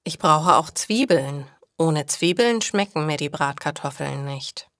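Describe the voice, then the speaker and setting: A woman's voice: sits low, expressive, a little slow, no room sound, studio quality. A woman voicing a forgetful female shopper who muses to herself in a storybook for language learners. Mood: musing. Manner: self-talking, musing.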